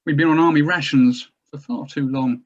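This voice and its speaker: A man's voice: fairly high pitch, fairly even intonation, normal speed, lightly acted. A man playing a pompous, evasive government geneticist in a scripted drama table read, speaking formally and hesitantly. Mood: evasive, measured. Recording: dull lo-fi sound.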